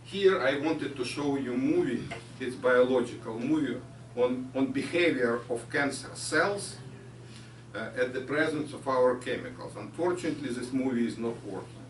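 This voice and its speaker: A man with a Ukrainian accent giving a science lecture, halting with many fillers. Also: mid-range voice. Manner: halting non-native phrasing with frequent fillers. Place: lecture hall. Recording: echoey hall from far off, faint noise.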